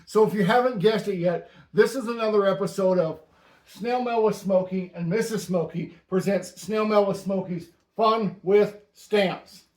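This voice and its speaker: Guy hosting a stamp show, kinda high voice, dry deadpan jokes, echoey room. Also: normal speed. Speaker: host. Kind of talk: casual stamp-collecting chat.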